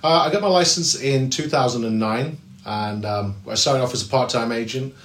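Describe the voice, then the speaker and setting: A man's voice: mid-range voice, moderate pace, a bit bright, reverberant. A man with an English accent, a real estate agent, answering interview questions in a chatty way with many fillers and restarts. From England. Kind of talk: podcast interview.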